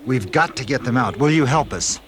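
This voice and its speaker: An actor playing a determined, urgent space adventurer in a 1970s audio drama, speaking earnestly. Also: male voice, mid-range voice, expressive, fairly quick, natural voice. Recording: noisy outdoor sound effects behind.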